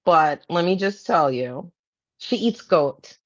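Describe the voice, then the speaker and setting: A woman's voice: lowish voice, lively intonation, slow. A woman co-hosting a podcast in casual chat, teasing her co-host and playfully mimicking her. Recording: dull lo-fi sound.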